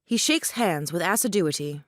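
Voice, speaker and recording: A woman's voice: fairly low pitch, lively intonation, moderate pace, no room sound, studio quality. A woman reading brief stage directions as the narrator of an audio drama, in scripted narration.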